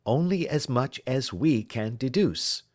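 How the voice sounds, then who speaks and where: A man voicing a brilliant, self-assured detective in an audiobook, lecturing crisply and precisely with a teasing edge. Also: mid-range voice, animated, unhurried, pitched above the performer's own voice, close to an everyday voice. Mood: confident, brisk. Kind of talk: audiobook character dialogue.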